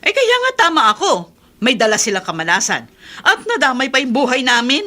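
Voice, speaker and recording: A woman's voice: sits high, huge pitch swings, a little fast, sharp tone, heavily acted. A woman acting a bitter, scornful middle-aged aunt in a Filipino radio drama, complaining in a theatrical way. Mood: spiteful.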